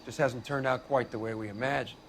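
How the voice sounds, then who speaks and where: A man's voice: medium pitch, fairly even intonation, fairly quick, natural voice. Crickets and background noise surround an actor playing a weary male veteran in a game drama. His mid-range voice is that of a loyal, reassuring comrade. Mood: reassuring, rueful. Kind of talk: video game voice acting.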